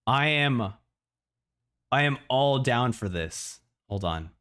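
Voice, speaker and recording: A man in a casual livestream reaction, talking excitably and often repeating words as he thinks out loud. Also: medium pitch, slow, smooth. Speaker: streamer reacting to a video.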